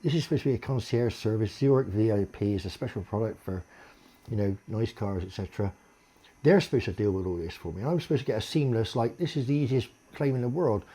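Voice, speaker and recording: A middle-aged Englishman with a deep, low voice airs a long grievance straight to camera. His tone is dark and a little husky, and he swears freely in a blunt, exasperated way. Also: quick pace. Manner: aggrieved ranting complaint, blunt and sweary. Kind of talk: casual monologue to camera.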